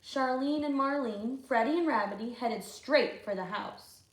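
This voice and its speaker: A woman reading a picture book aloud to children like a teacher, adding expressive, chatty comments. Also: sits high, unhurried, roomy sound, rough recording. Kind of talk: storybook read-aloud with asides to children.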